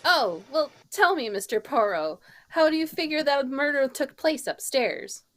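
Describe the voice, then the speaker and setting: A flustered lady suspect acted by a woman in a vintage radio whodunit, quick to take offense and defend herself. Her voice is very high and sharply bright. It swoops around with exaggerated indignation. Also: animated. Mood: pert, curious. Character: flustered, defensive New York female murder suspect, indignant. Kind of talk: old-time radio mystery script reading.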